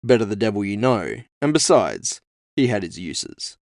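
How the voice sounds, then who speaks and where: A man's voice: lowish voice, very animated, moderate pace, creaky, darkish tone, dry recording, clean studio sound. A man giving a scripted first-person narration of an audio fiction story as its author. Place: dry, close recording space.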